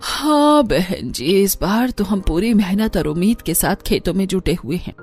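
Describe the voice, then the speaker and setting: A woman's voice: mid-range voice, animated, normal speed, a little mellow, husky, faint music behind. A woman voicing a middle-aged village mother-in-law, kindly and resigned, in a cartoon. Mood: sighing, hopeful.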